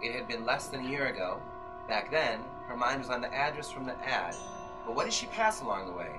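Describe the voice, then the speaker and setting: A middle-aged man giving a tense, deliberate dramatic reading of fiction, like an old-time radio play. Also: medium pitch, very animated, poor audio. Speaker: author reading from his own novel. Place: small bookshop with seated audience, indoors. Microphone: audience member's camera from a few metres. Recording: music behind, live room camera recording. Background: violin accompaniment.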